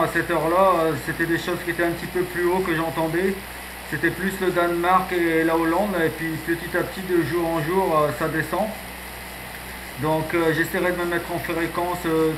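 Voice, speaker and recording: A man chatting casually as an amateur radio operator, peppering his talk with radio jargon and verbal tags. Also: on the high side. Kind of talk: casual radio contact chat. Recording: echoey, noisy shortwave radio contact recording.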